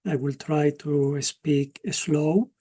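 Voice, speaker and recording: A man's voice: fairly high pitch, slow pace. A man from Argentina with an Argentine Spanish accent, giving an earnest webinar presentation over a video call. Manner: earnest, speeds up when excited. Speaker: utility program presenter.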